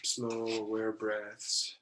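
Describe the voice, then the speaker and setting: A man leading a guided meditation in short, deliberate phrases with long pauses between them. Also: on the low side, monotone, noisy background. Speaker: meditation guide, somatic therapist.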